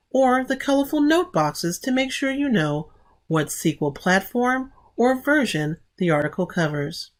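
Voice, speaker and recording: Female conference presenter, a little lower-voiced, expressive melodic range, reading prepared explanatory material clearly. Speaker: presenter, content developer.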